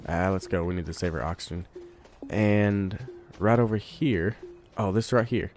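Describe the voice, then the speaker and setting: A man with a congested voice from a cold, giving relaxed let's play commentary, thinking aloud while he plays. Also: deep voice, moderate pace, a little hiss or hum. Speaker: gamer.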